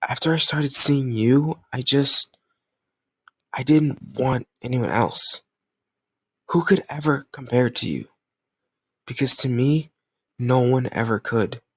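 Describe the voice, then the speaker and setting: A man's voice: medium pitch, slow pace, pauses often, breathy edge, no echo. A man playing a jealous, stubborn young lover in an intimate romantic audio roleplay, speaking emotionally and insistently in casual language. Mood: earnest, tender. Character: jealous, stubborn young male lover confessing his love.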